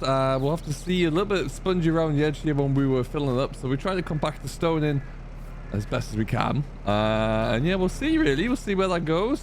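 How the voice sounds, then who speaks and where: A man's voice: fairly high pitch, a little hiss or hum. A man giving casual, rambling commentary as host of a farming roleplay game, thinking aloud as he goes.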